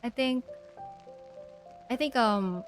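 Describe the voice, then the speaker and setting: A woman's voice: on the high side, slow pace. A woman chatting casually on a game livestream, thinking aloud in a hesitant, giggly way, with game music behind her. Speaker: game streamer. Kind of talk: casual livestream chat while playing.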